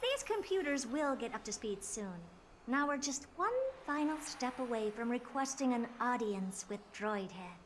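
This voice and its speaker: A woman playing a haughty, brisk genius scientist in a video game, explaining things in an imperious, matter-of-fact way. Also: fairly high pitch, lively intonation, a little hiss or hum, close to an everyday voice. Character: haughty, brisk genius female scientist who commands puppets. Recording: game music behind. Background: game music.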